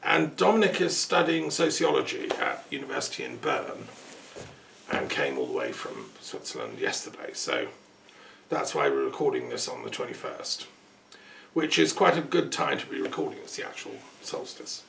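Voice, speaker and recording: A man with a very gravelly, fry-laden voice, sitting back from the mic in an echoey room. He hosts a relaxed interview, thinking aloud and rambling a little. A faint hiss runs underneath. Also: medium pitch, normal speed. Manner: rambling, thoughtful, with frequent ums and asides. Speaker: host. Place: peace museum building. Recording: roomy sound from a distance, faint noise.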